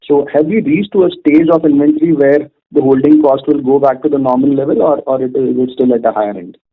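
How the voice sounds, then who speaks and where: A man's voice: mid-range voice, steady tone, fast, breathy edge. A man asking questions as an analyst on a business call, phrasing them in a hesitant, roundabout way. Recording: narrow muffled phone conference line.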